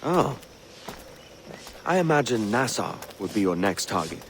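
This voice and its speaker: An actor playing a cocky young pirate bluffing as a gentleman in a video game, guarded and affectedly courteous. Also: lowish voice, sing-song, unhurried, a little hiss or hum. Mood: probing, polite.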